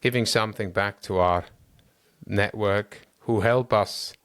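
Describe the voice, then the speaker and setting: A man's voice: on the low side, unhurried, a bit bright, clean tone, no room sound. A man hosting a podcast about endurance running, chatting with his guest in an encouraging way. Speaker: podcast host. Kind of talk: interview host chat.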